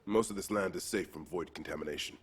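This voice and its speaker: Calm male game leader: deep, rough-edged voice, measured and persuasive. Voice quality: deep, husky. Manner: measured, explanatory. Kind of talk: video game voice acting.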